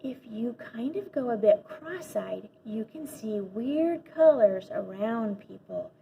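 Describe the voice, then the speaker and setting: An imaginative storybook schoolkid played by a woman reading aloud bluffs through a class speech in a fairly high voice. The delivery is earnest, emphatic and a touch mysterious. The audio is slightly dull, with faint noise. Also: animated, slow pace, gravelly, full character voice. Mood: hushed, mysterious. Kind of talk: children's storybook read-aloud.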